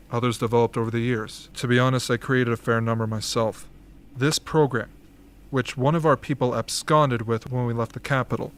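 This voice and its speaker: A man playing an authoritative, measured military strategist in an amateur radio drama. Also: medium pitch, close to an everyday voice. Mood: grave, instructive. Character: commanding, dryly formal rebel military strategist briefing soldiers.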